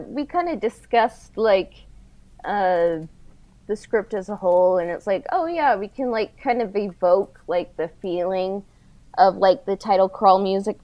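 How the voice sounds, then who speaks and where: A woman's voice: medium pitch, measured pace. Woman chatting casually, slightly muffled audio. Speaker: audio drama creator. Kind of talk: casual chat about creative work.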